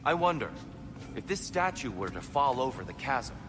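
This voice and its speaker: An actor playing a gentle, wise old god in a video game, speaking in a measured, formal, thoughtful way. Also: male voice, mid-range voice, sing-song, noisy background, close to an everyday voice. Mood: calm wonder.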